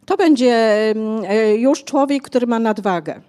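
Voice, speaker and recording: Hesitant older woman, mellow voice. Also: fairly high pitch, animated, a little slow. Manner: hesitant, many fillers, explanatory. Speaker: author guest. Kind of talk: conversational interview. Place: bookshop cafe stage, indoors. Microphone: handheld mic.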